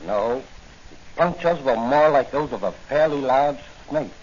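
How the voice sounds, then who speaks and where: An actor playing a science professor in 1940s radio style, formal and precise, with an authoritative, emphatic delivery. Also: male voice, medium pitch, lively intonation, a little slow, a little mellow, lightly acted. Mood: grave, explanatory. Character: excitable male marine-science professor, grave and authoritative. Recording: dull, noisy 1940s radio recording.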